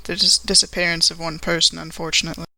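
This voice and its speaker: A woman playing a tabletop game in character, speaking formally and with measured, precise wording. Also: low-pitched, flat delivery, gravelly, pushed and bright, no room sound, rough recording.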